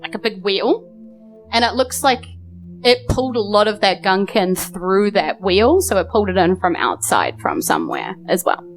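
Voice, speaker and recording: A woman's voice: mid-range voice, big pitch swings, moderate pace, soft background music, rough recording. A woman, improvising narration as game master in casual banter at a live show. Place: small live venue.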